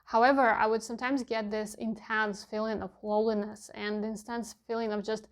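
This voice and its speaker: A young woman whose first language is Russian, talking candidly in a casual vlog and often pausing with fillers as she hunts for words. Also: fairly high pitch, level tone. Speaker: vlogger.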